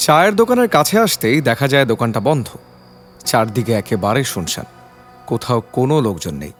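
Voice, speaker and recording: A man's voice: mid-range voice, big pitch swings, fairly quick, faint music behind. A man narrating a scripted detective story in a dramatic, suspenseful storytelling style.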